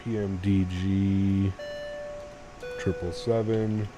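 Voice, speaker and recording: A man with a deep voice streaming a flight sim, talking straight to his chat and explaining himself at length with repeated restarts. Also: flat delivery, drawn-out words, music in the background. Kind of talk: casual live-stream chat.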